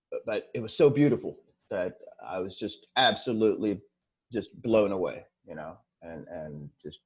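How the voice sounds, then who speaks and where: A man in his forties, born in Sweden, speaking as an interview guest in a casual, halting way with many fillers and restarts. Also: fairly low pitch, darkish tone, husky. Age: 40s.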